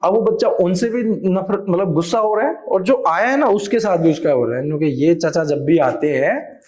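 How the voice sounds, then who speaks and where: Animated teacher, a man with a raised voice. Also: high-pitched, moderate pace. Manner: animated, acts out parents' and children's voices, switches into English phrases. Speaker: teacher and podcast guest. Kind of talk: conversational podcast interview.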